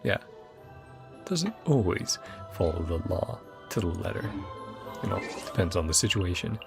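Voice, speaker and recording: A man's voice: deep voice, big pitch swings, moderate pace, gravelly, music in the background. A man doing casual gameplay commentary as a streamer, often muttering to himself as he thinks. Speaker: streamer playing a video game.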